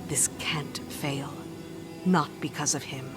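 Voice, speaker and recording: An actress playing a serious, commanding strategist in a video game, giving a measured, explanatory mission briefing. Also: female voice, low voice, fairly even intonation, over loud music. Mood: grave, urgent. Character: grave, commanding woman strategist briefing a soldier.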